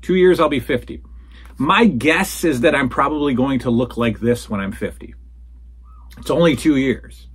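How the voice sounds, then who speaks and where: A man's voice: medium pitch, moderate pace, breathy edge. A man in his forties hosting a solo show, talking casually and rambling from a home den, calling his listeners folks. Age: 40s. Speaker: podcast host answering listener questions. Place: home den full of retro toys and posters.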